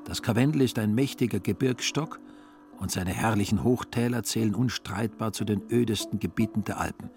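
A man narrating a literary radio play in a measured, ironic storytelling manner. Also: lowish voice, darkish tone, faint music behind.

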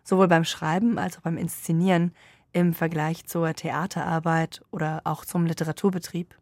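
A woman interviewer puts prepared questions in a fairly low voice. Her intonation stays flat and even, and the sound is dry with little room around it. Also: normal speed, no room sound.

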